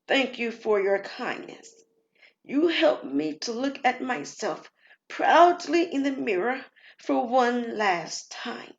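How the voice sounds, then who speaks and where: A frail old woman's grateful farewell comes across soft and tender in a storybook tale, as the woman narrating reads her letter. The voice is high and hushed, with very slow, lingering phrasing. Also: lively intonation, creaky, muffled recording, heavily acted.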